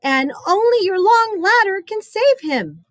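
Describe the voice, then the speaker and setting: A woman's voice: extremely high pitch, animated, unhurried, no echo, studio quality, pitched above the performer's own voice. A woman voicing a frantic little boy crying for help in a storybook, urgent, breathless and pleading. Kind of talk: storybook read-aloud character voice.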